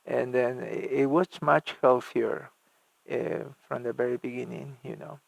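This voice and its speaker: A middle-aged man from Argentina, a church music minister telling his life story in an interview, halting with many fillers and restarts. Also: medium pitch, steady tone, gravelly, no room sound, rough recording.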